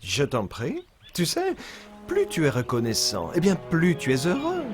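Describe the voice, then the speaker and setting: A man voicing a kind, wise young lion in a French children's cartoon, expressive and gently instructive. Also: on the high side, a little slow, over loud music. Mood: kindly, instructive.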